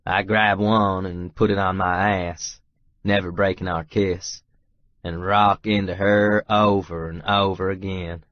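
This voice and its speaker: A man narrating a first-person romance audiobook in a measured, deliberate way, phrase by phrase. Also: sits low, level tone, no echo, dull audio.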